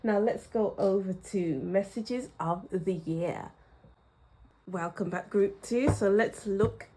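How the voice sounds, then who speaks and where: A woman reads tarot for her viewers at an unhurried, drawn-out pace. Her tone is soft and mellow, reflective, and she repeats phrases for emphasis. Her throat sounds slightly croaky. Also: medium pitch, low-quality recording. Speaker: tarot reader. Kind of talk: pick-a-card tarot reading.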